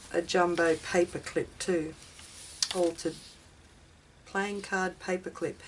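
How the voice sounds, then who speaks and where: An Australian woman with a slightly raspy voice from a chest infection, hosting a casual craft video in a rambling, self-deprecating way as she talks to herself. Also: lowish voice, slight background noise. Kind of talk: casual chatty craft demonstration.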